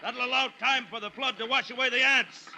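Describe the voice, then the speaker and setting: A man's voice: extremely high pitch, steady tone, brisk, pushed and bright, heavily acted. A man acting a defiant plantation owner in a 1940s radio drama, commanding and clipped in his delivery. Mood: rallying. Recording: noisy restored 1940s radio recording.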